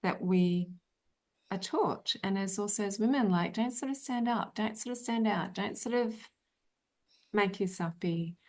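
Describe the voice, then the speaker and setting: A woman's voice: mid-range voice, a little slow, a little mellow. A middle-aged woman hosting an interview, talking in a chatty, rambling way with many fillers. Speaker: podcast host. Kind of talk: casual interview chat.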